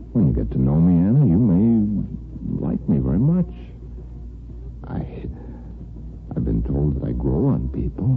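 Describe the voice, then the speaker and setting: A man's voice: very deep voice, vocal fry, full character voice. An American man playing an ardent, plain-spoken bachelor neighbor in an old-time radio soap opera, with earnest, folksy phrasing. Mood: ardent pleading. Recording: muffled vintage radio broadcast with music.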